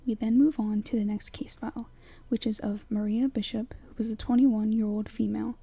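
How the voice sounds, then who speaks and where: A young woman narrating a scripted horror breakdown in a soft, breathy, hushed whisper, telling it in a measured way. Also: a little high, level tone.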